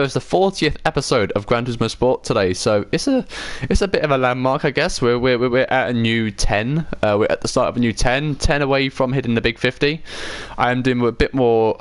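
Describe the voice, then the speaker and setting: A man's voice: mid-range voice, no echo. A man hosting a video game livestream with casual, chatty commentary, rambling along and correcting himself as he goes. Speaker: livestream host.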